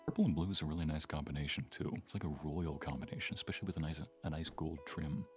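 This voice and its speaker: A man's voice: a bass voice, fast talker, faint music behind. A man chatting casually as a guest on a livestream, joking and chipping in.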